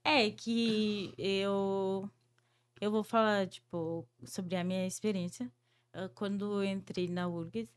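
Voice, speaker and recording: A woman's voice: mid-range voice, fairly even intonation, slow pace, smooth. A woman whose first language is French, hosting a podcast interview and talking in a hesitant way, with many fillers and restarts. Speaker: podcast host. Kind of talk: conversational interview.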